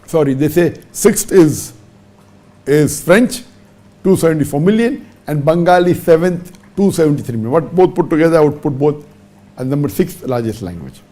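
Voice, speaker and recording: A man with a fairly high yet mellow, dark-toned voice answers in a lecture-style talk. He reels off numbers one after another and hits key words with sharp upward pitch jumps. English is not his mother tongue. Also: expressive, moderate pace. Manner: methodical, reels off figures and rankings one by one. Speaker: religious speaker answering a question.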